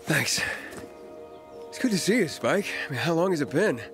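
An actor playing a wry, easygoing young pilgrim in a video game, speaking casually and laconically. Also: male voice, fairly high pitch, sing-song, moderate pace, music in the background. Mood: warm, pleased. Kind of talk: video game dialogue acting.

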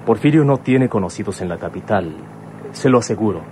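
Actor as a sober, low-voiced young man. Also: animated, brisk, a little mellow, natural voice. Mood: explaining, defensive. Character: sober, courteous adult son of a police commissioner. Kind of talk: radionovela acting. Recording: faint vehicle noise behind.

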